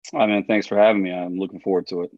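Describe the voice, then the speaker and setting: A hoarse man in his forties speaks earnestly as a guest, often repeating words. His voice is low and flat, with little change in pitch. The audio sounds dull and dry, like it came through a remote call. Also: rapid-fire, no echo. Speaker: basketball trainer, guest.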